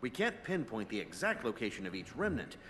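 An actor playing an obliging, precise academy scientist in a video game, explaining things politely and deferentially. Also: male voice, lowish voice, wildly swooping pitch, a little fast, fairly bright tone, in a large hall, slight background noise. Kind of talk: video game cutscene acting.

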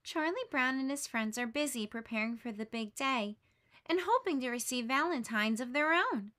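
A woman teacher reading a children's picture book aloud, expressive and lifting her voice for the characters' lines. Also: normal speed. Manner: expressive, lifts pitch for quoted lines.

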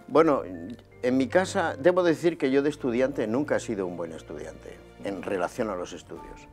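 A man in his fifties from Barcelona, a guest telling his life story in a relaxed, conversational way, recorded on a lapel mic in a small TV studio. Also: mid-range voice, normal speed, soft background music. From Barcelona, Spain. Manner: relaxed and conversational, gesturing as he talks.

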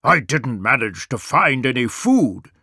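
A man's voice: medium pitch, very animated, unhurried, pushed and bright, slightly rough, no room sound, studio quality, exaggerated voice. A man voicing a weary, stern father wolf in a children's story, grumbling and scolding with emphasis.

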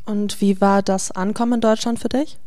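A young woman politely asking measured interview questions into a handheld mic in a lab. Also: medium pitch, fairly even intonation, dark tone. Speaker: youth interviewer. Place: pharmacy school lab indoors.

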